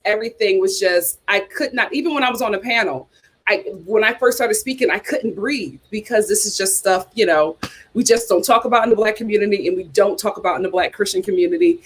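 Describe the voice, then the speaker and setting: A young woman hosting a casual livestream, chatting and reading viewer comments, repeating herself as she talks. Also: mid-range voice. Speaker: YouTube host.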